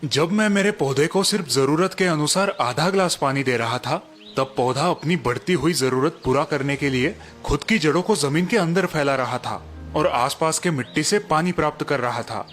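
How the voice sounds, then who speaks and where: A man voicing a kind, wise retired father-in-law in a storybook, speaking gently and calmly, with soft music behind. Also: on the high side, normal speed, close to an everyday voice. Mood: explaining. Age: older.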